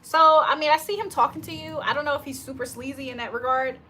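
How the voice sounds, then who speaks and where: A woman's voice: high voice, fairly even intonation, quick pace, pushed and bright. A woman doing a casual livestream tarot reading, chatting with viewers in slangy talk and reading their comments aloud.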